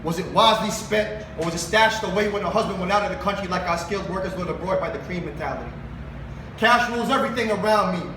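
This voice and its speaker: A Filipino man with a high-pitched voice performs spoken word at a live event, mixing poetry with casual stage talk. The recording is distant and roomy, with clear background noise. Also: fast. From Philippines. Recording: roomy, distant mic, noisy live venue.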